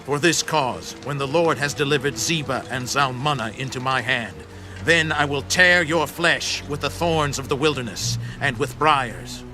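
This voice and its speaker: A man acting as a stern, vengeful war leader in a dramatized scripture reading, forceful and declamatory. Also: medium pitch, moderate pace, natural voice. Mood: threatening. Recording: loud background music.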